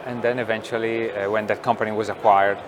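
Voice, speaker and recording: A middle-aged man, a company founder explaining technology in an interview, thoughtful with many pauses and fillers. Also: on the low side, level tone, noisy background. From Italy. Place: trade show booth studio.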